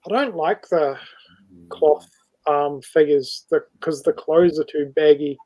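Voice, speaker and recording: Higher-pitched man, flat dry delivery. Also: takes it slowly, no room sound, poor audio. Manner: asks questions, chatty. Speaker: guest on a live show. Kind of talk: casual live-stream chat.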